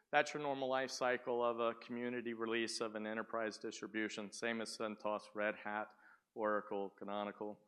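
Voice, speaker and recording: A man giving a sales presentation at a tech conference, booming and often asking the audience questions. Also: a bit bright, clean tone. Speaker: conference speaker. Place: conference room. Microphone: speaking without the microphone at first.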